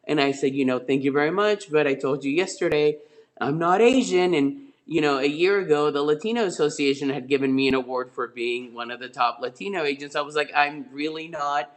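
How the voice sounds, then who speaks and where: A man's voice: a little high, brisk. A middle-aged man, a real estate agent who grew up in Spain, telling stories from his career on a webinar in a rambling way, with many fillers and restarts, over a video call. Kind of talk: webinar guest storytelling.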